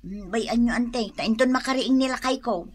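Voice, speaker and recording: An actress playing a fed-up, scolding Filipino wife with an Ilocano accent in a radio comedy drama. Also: female voice, normal speed, a little mellow, natural voice. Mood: grumbling, uneasy. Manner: nagging, shrill.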